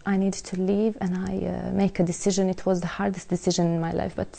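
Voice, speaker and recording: Husky, gravelly young woman. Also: on the low side, level tone, warm tone. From Serbia. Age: young adult. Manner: halting, searching for words in careful English. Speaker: interviewee. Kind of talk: personal testimony.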